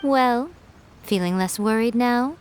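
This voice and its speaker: Sly, teasing fox priestess. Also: female voice, a little high, takes it slowly, darkish tone, slight background noise. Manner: amused, confident, teasing. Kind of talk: video game voice acting.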